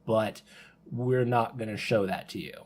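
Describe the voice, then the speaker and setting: A man talking casually on a podcast, thinking aloud in a halting way and repeating his words. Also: on the low side. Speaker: podcast panelist.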